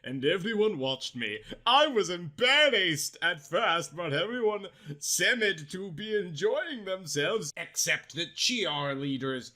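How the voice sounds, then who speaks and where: A man's voice: high voice, big pitch swings, slow pace, pushed and bright. A man narrating parody fan fiction in a theatrical, comic style with melodramatic, exaggerated emphasis.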